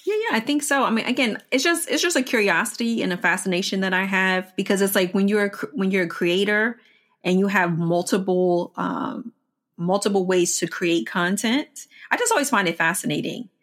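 A woman's voice: medium pitch, a little fast, a bit bright. A middle-aged woman with an American accent, interviewing conversationally in an effusive, rambling way and often checking for agreement. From Washington DC, United States.

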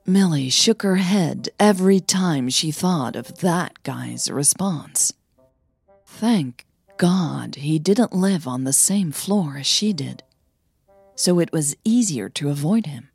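A woman reads scripted fiction in a somewhat low, breathy, husky voice. She takes her time, phrasing each line deliberately with pauses. Music plays behind her narration. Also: animated, a little mellow. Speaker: podcast host and fiction narrator. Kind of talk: scripted audio story narration.

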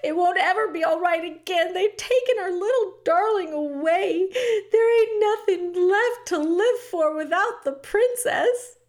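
A woman's voice: fairly high pitch, expressive. A woman voicing a distraught storybook castle cook, wailing and ferocious in her grief. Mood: sobbing despair. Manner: wailing, shouting, drawn-out.

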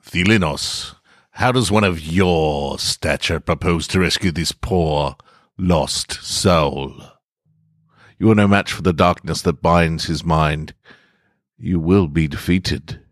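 A man's voice: low-pitched, slow pace, no room sound, clean studio sound. A man voicing a towering, solemn archangel who speaks in grave, formal, commanding pronouncements for a story. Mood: stern, dismissive.

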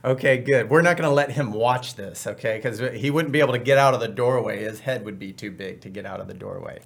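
A man's voice: medium pitch, fast, gravelly. A man hosting a podcast, upbeat and chatty with rambling asides. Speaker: podcast host. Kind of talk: casual podcast chat.

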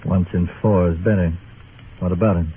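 A man playing a bitter frontier doctor gone crooked, low in pitch. His delivery is clipped and sardonic, in old radio drama fashion. The sound is narrow and slightly noisy. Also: steady tone, normal speed, natural voice. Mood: bitter, guarded. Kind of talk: 1950s radio drama acting.

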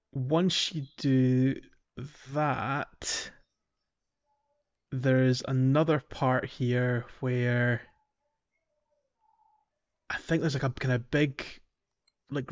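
Male podcast host, mid-pitched, halting conversational game walkthrough, somewhat lo-fi sound. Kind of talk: casual video game walkthrough chat.

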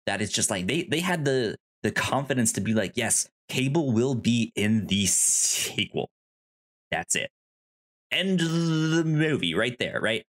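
Male podcast co-host, mid pitch, informal film chat, halting delivery with repeated words. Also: lively intonation, fairly bright tone. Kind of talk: casual film discussion.

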